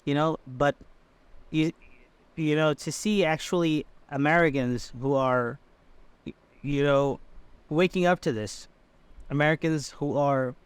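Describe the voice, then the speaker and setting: A man from Bangladesh giving casual, halting commentary as a channel host, repeating himself with many fillers. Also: fairly high pitch, smooth. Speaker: channel host reacting to clips.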